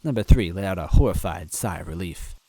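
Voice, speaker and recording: A man reading a story aloud in first person, with deadpan, mock-earnest narration. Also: low voice, fairly quick, slightly rough, no room sound. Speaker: story reader.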